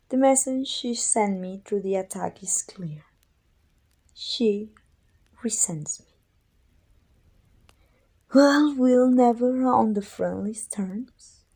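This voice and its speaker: Woman voicing an evil sorceress queen for asmr roleplay, bossy and world-weary, breathy husky voice w lots of fry, dramatic. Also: medium pitch, dark tone, heavily acted. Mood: bitter, resentful. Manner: imperious, deliberate, dramatic delivery. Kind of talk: scripted ASMR roleplay acting.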